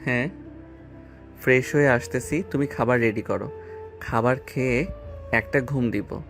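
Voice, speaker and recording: A man's voice: mid-range voice, a little slow, fairly bright tone. A man playing a cocky, carefree young student boyfriend in an audio love story, with a casual, offhand manner. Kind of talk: acted dialogue in an amateur audio love story. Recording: voice over background music.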